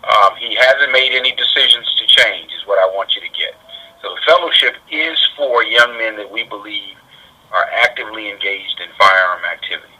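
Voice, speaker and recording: An American man being interviewed over the telephone, explaining things in a deliberate, measured, emphatic way. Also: on the low side, sharp tone, slightly rough. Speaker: interviewee, community safety director. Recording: radio-like telephone line.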